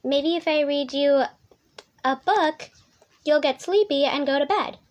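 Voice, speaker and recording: A woman reading a children's picture book aloud in a soothing, expressive storytelling manner. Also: high-pitched, unhurried.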